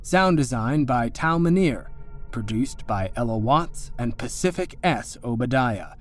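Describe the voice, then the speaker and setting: A man's voice: medium pitch, lively intonation, measured pace, soft background music. A man reading scripted production credits as an announcer.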